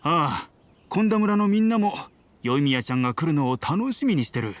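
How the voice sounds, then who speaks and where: A man playing a kind, steady middle-aged villager in an anime game, calm and neighbourly. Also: on the high side, normal speed, slight background noise. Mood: calm, caring. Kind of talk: Japanese anime game voice acting.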